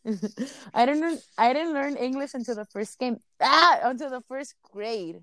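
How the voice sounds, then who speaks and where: Woman podcast cohost, spanish her first language, nervous rambly chat, smooth voice, bit high, dry sound. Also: lively intonation, normal speed, sharp tone, no room sound. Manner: rambling, nervous, laughs often; stutters when nervous. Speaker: podcast co-host. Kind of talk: casual chat.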